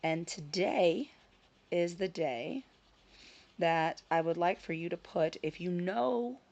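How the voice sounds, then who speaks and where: A woman hosting her own vlog in casual chat, a little hoarse from a scratchy throat, talking with frequent ums and self-corrections. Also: lowish voice, expressive, takes it slowly, a little mellow. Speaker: channel host. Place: her home in Sicily.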